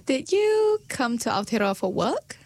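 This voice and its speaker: A woman hosting a conversation, asking her guests questions in a warm, encouraging way. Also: fairly high pitch, big pitch swings, a little slow, dry recording, studio quality. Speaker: host. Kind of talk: interview.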